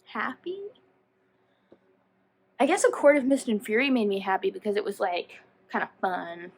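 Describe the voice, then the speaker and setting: A woman's voice: medium pitch, big pitch swings, normal speed, slightly rough. A woman chatting casually to viewers as a book vlogger, rambling and self-deprecating as she goes off on tangents. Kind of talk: casual chatty video talk.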